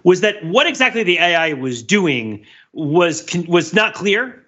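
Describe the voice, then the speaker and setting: Male panelist, fairly high bright voice with some rasp, explanatory and conversational. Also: measured pace, lo-fi sound. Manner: explanatory, animated. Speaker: podcast panelist. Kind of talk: casual film discussion.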